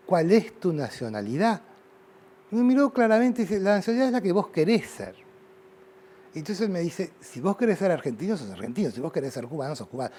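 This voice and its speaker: A man's voice: on the high side, darkish tone. A middle-aged man from Uruguay with a Rioplatense Spanish accent, an artist reflecting at length in an interview, with many fillers and restarts. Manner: thoughtful, rambling, frequent fillers.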